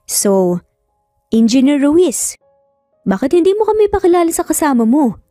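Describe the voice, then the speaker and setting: A woman narrator puts on a sociable, flirtatious Filipino attorney in an audio romance. He jokes playfully in a mellow, close-recorded voice with music beneath. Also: high-pitched, very animated, moderate pace, dry recording, pitched above the performer's own voice. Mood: curious. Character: smooth, flirtatious male attorney, joking and sociable.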